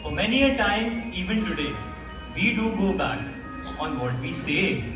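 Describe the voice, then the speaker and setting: A young man giving an earnest, deliberate rhymed closing address at a school play, distant and echoey with music behind. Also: high voice, big pitch swings. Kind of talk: rhymed moral address to audience.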